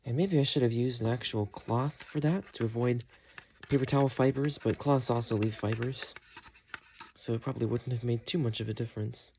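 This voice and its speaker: A man with an average-pitched voice hosts a hands-on tutorial. He talks casually and thinks aloud as he works, explaining each step in an unscripted way. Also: normal speed, creaky, darkish tone, slight background noise. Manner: thinks aloud while working. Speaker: host of a repair tutorial.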